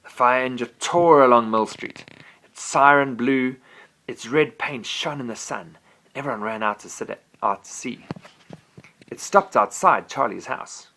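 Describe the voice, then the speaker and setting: A father reading a bedtime story aloud to his child, gentle and animated. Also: mid-range voice, a little slow.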